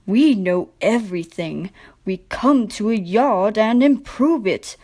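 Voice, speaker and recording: A woman voicing a smug, boastful male diesel engine in a storybook, with oily, purring politeness and drawn-out words. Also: mid-range voice, lively intonation, mellow.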